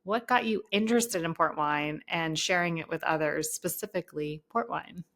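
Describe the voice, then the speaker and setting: A woman's voice: on the low side, moderate pace, gravelly, a bit bright. A woman from the United States hosting a friendly interview over a video call, enthusiastic and laughing often. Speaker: podcast host.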